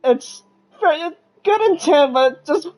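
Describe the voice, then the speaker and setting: A woman's voice: high-pitched, animated, measured pace, a little mellow. Casual woman streamer reacting to a game.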